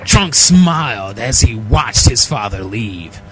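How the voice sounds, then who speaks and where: A man reading fan fiction aloud as narrator, in a mock-dramatic, theatrical, drawn-out style. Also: medium pitch, big pitch swings, slight background noise, low-quality recording. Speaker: reader, narrator.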